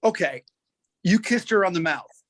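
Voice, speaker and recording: A man's voice: sits high, animated, moderate pace. A man playing a persistent lawyer who puts insistent, clipped questions to a witness in a dramatic reading. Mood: probing. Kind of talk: dramatic reading of a deposition transcript.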